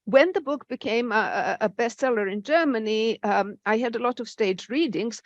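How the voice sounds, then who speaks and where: A middle-aged woman, a native Serbian speaker, giving a measured, reflective talk on a literary panel. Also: fairly high pitch, dry recording, lo-fi sound. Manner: measured, reflective, with occasional hesitations.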